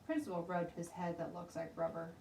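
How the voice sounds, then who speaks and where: A woman teacher narrating a children's storybook aloud in an expressive, dramatic way. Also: on the low side, a little fast. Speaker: teacher reading stories aloud. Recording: roomy, distant mic, faint background noise.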